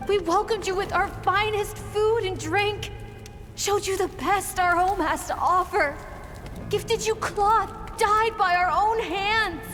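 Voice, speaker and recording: A woman's voice: extremely high pitch, slow pace. A woman playing a protective, earnest village mother in a dubbed anime game, speaking emphatically. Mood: anguished. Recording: long reverb, music behind.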